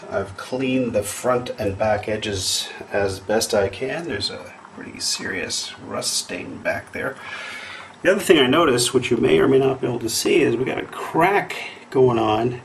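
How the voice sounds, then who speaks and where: A man's voice: medium pitch, slight background noise. A middle-aged man from Canada, talking casually through a kitchen fix in a how-to video, rambling and thinking aloud with lots of fillers. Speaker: DIY maker vlogger. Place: home kitchen.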